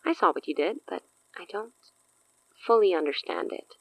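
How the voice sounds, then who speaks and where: A cocky supernatural villainess from a horror audio drama. Her voice is very low for a woman, close and dry. She talks in a smug, coy way, teasing as she offers her bargains. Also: sing-song, moderate pace, dry recording, low-quality recording. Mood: intrigued, smug.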